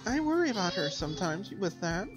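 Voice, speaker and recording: Man putting on a high, flirty lady doctor. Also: sing-song, a little fast, dull audio, over loud music. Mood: concerned. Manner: coy, breezy, teasing.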